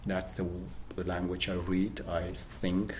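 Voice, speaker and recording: Middle-aged Azerbaijani-born man, halting speech. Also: low voice, monotone. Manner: halting, searching for words, frequent restarts. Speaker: guest. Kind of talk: casual conversation. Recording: muffled with faint background hiss.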